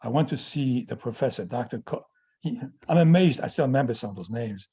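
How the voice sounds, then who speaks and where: A man in his eighties, a native French speaker from France, recounting personal memories to an audience in a halting way, with frequent restarts and "yeah" fillers. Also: medium pitch, lively intonation, warm tone, husky. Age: 80s. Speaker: guest speaker answering audience questions.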